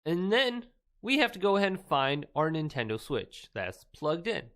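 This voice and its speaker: A man's voice: on the high side, very animated, normal speed, a bit bright. A man presenting a casual step-by-step tech tutorial in an upbeat, emphatic presenter's delivery. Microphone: Blue Yeti desktop microphone.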